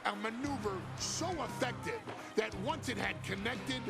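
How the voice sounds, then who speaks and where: A man narrating a scripted documentary in a gravelly voice. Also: high voice, measured pace. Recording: loud music under the voice. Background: loud music.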